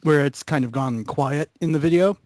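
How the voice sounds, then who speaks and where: A man's voice: a little high, normal speed, a little mellow, no room sound. Young man in dry, close sound. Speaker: member of an online gaming video group. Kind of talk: casual group chat.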